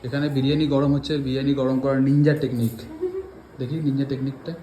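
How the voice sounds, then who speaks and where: A man's voice: mid-range voice, little pitch movement, quick pace, warm tone, roomy sound. Chatty Bengali man in a noisy home. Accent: West Bengal Bengali. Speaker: vlogger. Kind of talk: casual home vlog chat. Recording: clearly noisy handheld phone recording.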